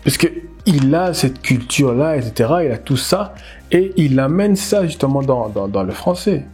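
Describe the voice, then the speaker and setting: Very husky man, animated commentary. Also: mid-range voice, normal speed, soft background music. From DR Congo. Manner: animated, emphatic, repeats words as he thinks aloud. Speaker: language teacher. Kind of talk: casual commentary video.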